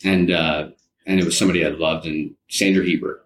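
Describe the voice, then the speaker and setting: A man's voice: deep voice, steady tone, fairly quick. A man speaking as an interview guest, recounting experiences informally and restarting his sentences as he goes. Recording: background audio noise behind voice. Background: faint background audio.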